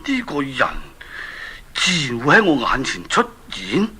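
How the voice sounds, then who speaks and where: A man's voice: medium pitch, wildly swooping pitch, unhurried, sharp tone, slightly rough, full character voice. An actor playing an aging, worried and impatient king in a children's radio drama, exclaiming and questioning theatrically. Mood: eager, puzzled.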